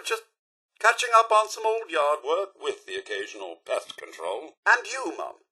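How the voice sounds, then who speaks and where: A loyal robot butler from a video game with a British accent. The voice is bright and slightly husky, swooping theatrically across a wide range. He speaks with fussy, deferential politeness and formal diction. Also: a little high, heavily acted. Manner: formal, deferential, fussily courteous. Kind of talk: video game character voice lines.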